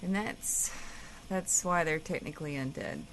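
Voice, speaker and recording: A woman's voice: sits low, unhurried, a bit bright, a little hiss or hum, low-quality recording. A woman playing a sardonic, impatient radio co-host in scripted comedy banter. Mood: dry, probing.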